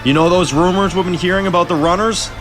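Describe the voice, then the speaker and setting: A man's voice: high-pitched, fast, over music, voice pushed up, close to an everyday voice. A man doing a panicked, swearing truck driver. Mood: urgent. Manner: frantic, swearing.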